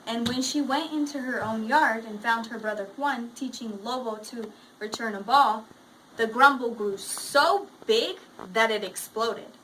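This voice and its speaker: A woman teacher with a bright, forceful voice reads and talks to a class of pupils. Her pitch sits a little high and her delivery is expressive. There is faint noise behind her in the classroom. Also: measured pace, low-quality recording. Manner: expressive story reading, prompting with questions. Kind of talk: classroom read-aloud and discussion with pupils.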